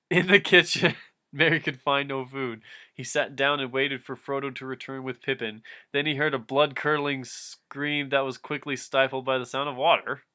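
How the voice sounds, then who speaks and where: A man's voice: mid-range voice, pushed and bright. A man reading fan fiction aloud for laughs, mock-dramatic, often interrupting himself with casual, giggly asides.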